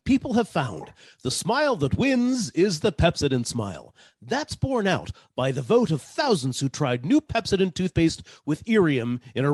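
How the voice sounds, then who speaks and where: A man's voice: fairly high pitch, a little mellow, husky, no echo, exaggerated voice. A man playing a polished 1940s radio announcer with emphatic, salesmanlike delivery.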